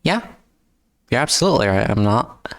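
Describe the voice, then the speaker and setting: A man's voice: mid-range voice, sing-song, moderate pace, dry recording, studio quality. A man streaming live, chatting casually with viewers in English, which is not his first language, often stumbling and repeating words and joking at his own expense. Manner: stumbles and repeats words, answers chat with joking self-mockery.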